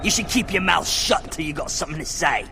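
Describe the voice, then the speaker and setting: Scrappy henchman, high voice, mocking, swoopy, video game. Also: lively intonation, a little fast, gravelly. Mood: taunting, sneering. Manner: taunting, mocking. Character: cheeky, sneering mutant henchman, scrappy and spiteful man. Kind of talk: video game cutscene acting. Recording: game dialogue under loud music.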